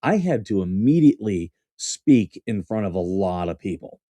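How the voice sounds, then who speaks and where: A man who served as a Marine officer, chatting casually as a co-host. His voice is low and mellow, recorded close and dry, and he feels his way through sentences with pauses and fillers. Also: sing-song, dry recording. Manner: hesitant, many fillers and restarts, easy laughter. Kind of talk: casual conversation.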